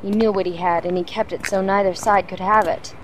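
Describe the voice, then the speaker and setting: An actress playing a headstrong, short-tempered mentor in a fantasy audio drama, earnest and insistent. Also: female voice, medium pitch, steady tone, fairly quick, a little hiss or hum, close to an everyday voice, poor audio. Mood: earnest, explaining.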